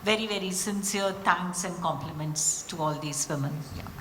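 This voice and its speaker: A woman in the audience speaks warmly and effusively, full of praise. Her voice sits a little low for a woman. It carries through a reverberant hall with faint background noise. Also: moderate pace. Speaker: audience member.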